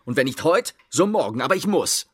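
Bitter brother with wildly swinging, husky voice. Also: male voice, on the high side, very animated, a little fast. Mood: defiant. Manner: declamatory, brooding, with sudden outbursts. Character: bitter, defiant homeless brother who returns home. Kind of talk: German radio play acting.

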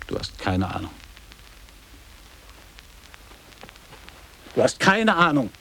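A man's voice: mid-range voice, big pitch swings, fairly quick, pushed and bright, slight background noise, low-quality recording. An actor playing a nostalgic middle-aged man in a radio drama, recalling boarding-school years in clipped, declamatory phrases. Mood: insistent. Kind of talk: literary German radio drama monologue.

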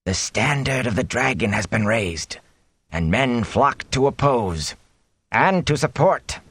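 A crafty peddler as a male narrator puts him on, slyly amused and insinuating. He pitches his words high and feigns casualness, relishing each secret like a storyteller in a fantasy tale. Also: very animated, slow, a bit bright, slightly rough, dry recording, full character voice. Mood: portentous, secretive. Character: sly, theatrical peddler, slyly amused bearer of news.

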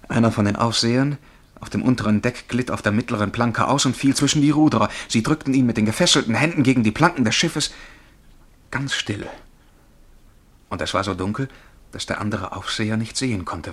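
An actor playing a dreamy young clerk in a German radio play, telling his memories in a halting, dramatic way. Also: medium pitch, a bit bright. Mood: gripped, vivid. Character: Charlie, dreamy young bank clerk recalling past lives.

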